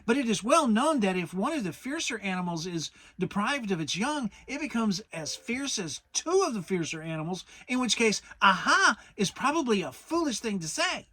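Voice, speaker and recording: Man doing a high, timid, stammering little piglet. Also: big pitch swings, moderate pace, hardly any pauses, sharp tone, dry recording, full character voice. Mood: nervous, fretful. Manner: stammering, fidgety. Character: small, timid, fretful male piglet.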